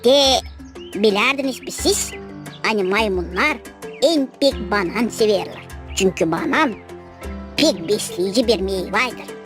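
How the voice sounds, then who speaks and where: A woman's voice: medium pitch, expressive, measured pace, pauses often, music in the background, close to an everyday voice. A woman with a Gagauz accent voicing a cheeky, naive little child in a children's cartoon. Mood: earnest, boastful.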